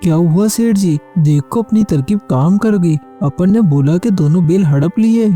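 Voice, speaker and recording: Cartoon villain's sidekick: sly male henchman played by an actor, very muffled dark timbre, coaxing, music underneath. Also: medium pitch, animated, a little slow, close to an everyday voice. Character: sly male henchman, flattering and wicked schemer. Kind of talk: Hindi animated moral story dialogue.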